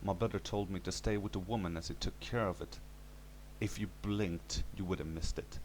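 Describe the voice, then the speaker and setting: Low-voiced man narrating a story. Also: steady tone, moderate pace, slight background noise. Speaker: narrator reading a horror story. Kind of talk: scripted first-person story narration.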